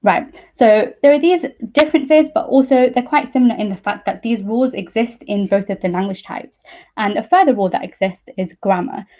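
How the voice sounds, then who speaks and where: A woman's voice: a little high, a little fast. A young woman, giving an informal science talk as a PhD student in a slightly hesitant, explanatory way. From East Midlands, England. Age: young adult. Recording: muffled narrow-band online call audio.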